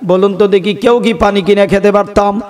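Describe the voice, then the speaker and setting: A Bangladeshi man preaching an Islamic sermon in a fervent, drawn-out, sing-song way, exhorting his listeners and at times chanting. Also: sits high, steady tone. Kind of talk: fervent sermon with chanted passages and calls for audience response. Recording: long hall reverb, music-like sound behind.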